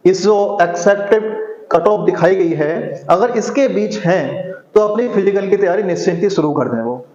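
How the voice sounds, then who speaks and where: High-pitched man explaining, echoey room. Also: animated, normal speed, dark tone. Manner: repetitive, punctuates with rhetorical questions. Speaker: exam coaching teacher. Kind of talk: informal explainer addressed to students. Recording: muffled audio in echoey room.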